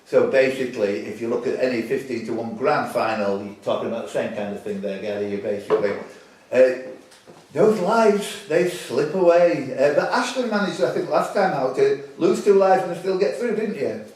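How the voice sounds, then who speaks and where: A man's voice: medium pitch, normal speed, darkish tone. A man hosting a quiz tournament with casual, teasing announcements, recorded at a distance in a very echoey room.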